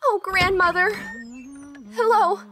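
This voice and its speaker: A woman's voice: very high-pitched, fairly even intonation, slow pace, sharp tone, full character voice. A woman playing an earnest, dutiful young girl who tells her own fairy tale formally in an audio drama. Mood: eager, caring. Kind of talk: first-person storybook narration and acting in audio drama.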